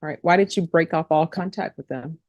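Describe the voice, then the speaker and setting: Low-voiced woman judge, formal and procedural. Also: fairly quick, darkish tone, no echo, dull audio. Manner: procedural, spells out names. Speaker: judge presiding over a court hearing. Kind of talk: formal courtroom questioning. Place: courtroom.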